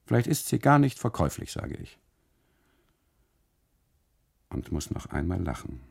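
A man performing dramatic first-person literary narration in a gravelly, husky voice, expressive and deliberate, with broken phrasing. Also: low voice, no room sound. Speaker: narrator of a literary radio monologue.

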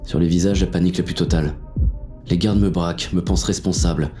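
An actor playing a hard-boiled, bitter police inspector who narrates a thriller in terse, intense first person. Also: male voice, deep voice, steady tone, fast talker, warm tone, faint music behind, close to an everyday voice. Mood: shocked, urgent. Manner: gritty, terse, intense delivery.

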